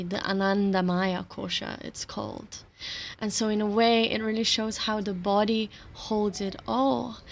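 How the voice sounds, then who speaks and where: A woman whose first language is Slovenian talks at a slow, unhurried pace. She has an even, mid-range voice and explains her reflective spiritual ideas gently, with soft laughs between her thoughts. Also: mid-range voice. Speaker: astrology teacher.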